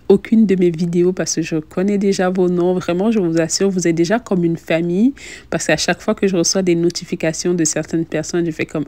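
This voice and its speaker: A young woman narrates a home decoration vlog in a casual, chatty way. Her voice sits a little low and has a very mellow, soft-edged tone. She speaks straight to her viewers as the host of her own channel. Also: dry recording. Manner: chatty, addresses viewers directly. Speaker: lifestyle vlogger and host.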